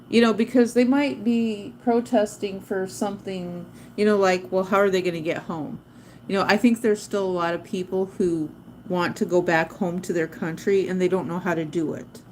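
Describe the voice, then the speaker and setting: A woman's voice: medium pitch, darkish tone, slight background noise, low-quality recording. A woman hosting a livestream, chatting casually and rambling off topic, often spelling words out letter by letter. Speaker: livestream host teaching drawing.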